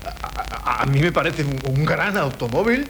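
An actor from playing a sheepish, overly polite bachelor guest who hesitates and stammers, in a 1970s radio drama. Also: male voice, a little high, big pitch swings, normal speed, a bit bright, a little hiss or hum.

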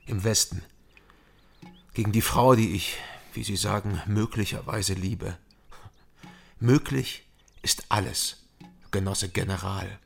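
An actor playing a weary, self-deprecating East German investigator in a radio crime drama, speaking and narrating in a dry, ironic, deliberate way. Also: male voice, lowish voice, fairly even intonation, gravelly, husky, full character voice. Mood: defiant irony.

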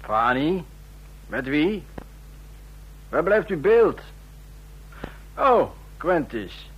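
An actor playing a puzzled, indulgent boss heard through a videophone filter in a radio drama. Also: male voice, a little high, very animated, very slow, slightly rough, full character voice. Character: sleepy male superior, puzzled and mildly indulgent. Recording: muffled call effect in radio play.

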